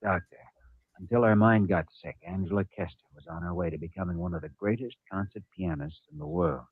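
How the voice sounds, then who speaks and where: An actor playing an earnest, firm hospital doctor in a 1950s radio drama, theatrical and emphatic, on a tinny vintage recording. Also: male voice, deep voice, a little fast, breathy edge, dry recording. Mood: grave, earnest.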